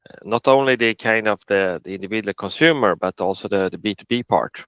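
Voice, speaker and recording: Low, smooth-voiced man from Sweden. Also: fairly quick, a bit bright, no echo, dull audio. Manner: hesitant, many restarts. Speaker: conference speaker. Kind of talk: casual panel chat. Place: Netherlands.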